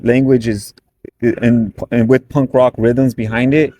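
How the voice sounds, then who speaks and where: A man's voice: medium pitch, flat delivery, unhurried, mellow, slight background noise. A man, a band member and native English speaker, talking at length in a casual interview, rambling and correcting himself. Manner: rambling, chatty, self-correcting.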